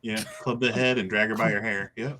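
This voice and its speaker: A man's voice: fairly low pitch, fairly even intonation, a little fast, a crowd in the background. A man on a podcast panel, bantering casually and jumping in with jokes. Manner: joking, interjecting.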